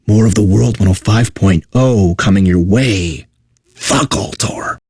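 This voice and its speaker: A man's voice: lowish voice, takes it slowly, husky, no room sound, studio quality, exaggerated voice. An actor playing a bitter, sardonic video game radio DJ who resents his corporate bosses, mixing station patter with theatrical despair. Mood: bitter exasperation.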